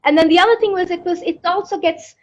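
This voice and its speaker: A woman's voice: extremely high pitch, fast talker, a little mellow, lo-fi sound. A middle-aged woman from Pakistan, an astrophysicist answering interview questions thoughtfully, often repeating words as she searches for them. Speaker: astrophysicist guest.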